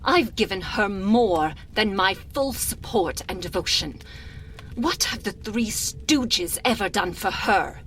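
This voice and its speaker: A woman acting as an imperious vampire countess speaks extremely slowly in a theatrical, seductive ASMR monologue. Her medium-pitched voice is close and languid, sinking into breathy near-whispers with proud, wounded hauteur. A faint ambient noise sits behind her. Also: lively intonation, a bit bright. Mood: indignant, proud. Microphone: close binaural microphone.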